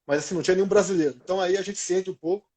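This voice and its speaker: A man's voice: high-pitched, steady tone, very fast, a little mellow. A man in his thirties with a Brazilian Portuguese accent, telling his experiences as an athlete in an informal, slangy interview chat. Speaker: former professional footballer being interviewed.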